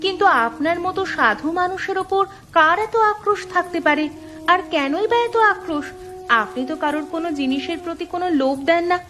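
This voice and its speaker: A woman voicing a kind, curious young village girl in a cartoon, earnest and expressive. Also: very high-pitched, fairly quick, background noise. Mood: concerned. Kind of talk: cartoon storytelling voice acting.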